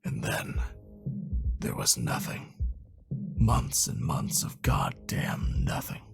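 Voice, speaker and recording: An actor playing a bitter, world-weary middle-aged police detective in a game cutscene, hard-boiled and foul-mouthed with a gravelly voice. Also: male voice, slow pace, lightly acted. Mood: weary, haunted. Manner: hard-boiled, sardonic, cursing. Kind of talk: noir video game cutscene acting. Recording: loud music under the voice. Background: loud music.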